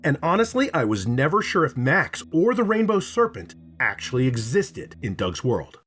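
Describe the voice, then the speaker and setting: A man reviewing a video game in scripted narration, dramatic and playful, with emphatic phrasing and drawn-out words. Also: a little high, very animated, music in the background.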